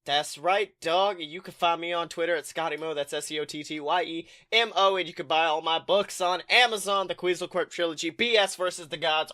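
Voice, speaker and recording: A man hosting a comedic podcast with casual, joking, profane banter in an animated manner. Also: a little high. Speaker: podcast host.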